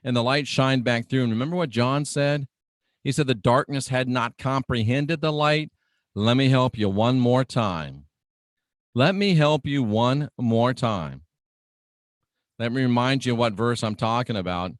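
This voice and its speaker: A middle-aged man delivers an emphatic radio sermon at a slow, deliberate pace. He repeats key phrases dramatically, like a teacher driving a point home. His mid-pitched voice sits in a dry recording with no room sound. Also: no room sound. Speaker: radio preacher and show host.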